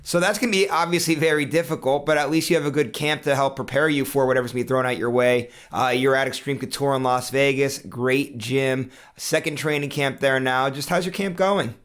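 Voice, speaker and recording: A man's voice: mid-range voice, steady tone, a little fast, few pauses, a bit bright. A man hosting an interview, asking long, rambling questions full of fillers. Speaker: interview host.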